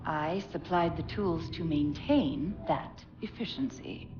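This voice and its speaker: An actress playing a proud military scientist under interrogation in a game cutscene, cool, precise and unapologetic. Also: female voice, low-pitched, slow, muffled recording. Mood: guarded, suspicious. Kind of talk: dramatic video game cutscene acting. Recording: echoey room with music behind.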